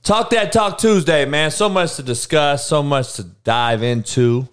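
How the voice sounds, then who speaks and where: A man's voice: fairly high pitch, measured pace, clear, resonant tone. A man in his forties hosting a podcast livestream, chatting loosely with viewers and swearing a lot. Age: 40s. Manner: profane, loose and rambling, talks to the chat. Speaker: podcast host. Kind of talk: casual livestream chat with viewers.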